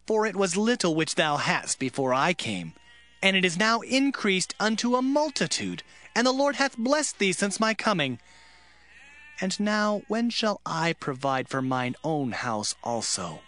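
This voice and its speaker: Biblical shepherd: earnest, weary, highish voice, very lively pitch, solemn pleading. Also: male voice, measured pace, full character voice. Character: Jacob, earnest weary shepherd seeking his due. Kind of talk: dramatized Bible reading.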